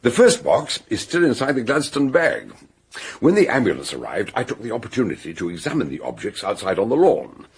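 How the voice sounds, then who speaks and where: A man playing a shrewd, avuncular detective doctor in a radio mystery, questioning courteously in a husky voice. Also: on the low side, exaggerated voice. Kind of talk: radio detective drama acting.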